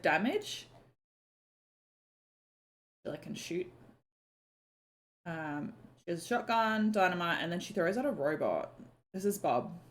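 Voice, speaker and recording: A young woman chats easily to her stream, her slightly croaky voice sitting a little low. She talks in loose, rambling runs full of filler words and the odd swear. Her pitch swings widely as she goes. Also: fairly bright tone. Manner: chatty, lots of "like" and "um", swears casually. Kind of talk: casual chat on a live stream.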